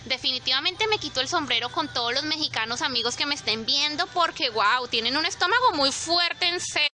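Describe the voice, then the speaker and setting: A woman's voice: high voice, brisk. A young woman, chatting to viewers as she films her walk through a supermarket. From Colombia. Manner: chatty, expressive, addresses viewers directly. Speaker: vlogger. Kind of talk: casual walk-through vlog. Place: supermarket aisles, indoors.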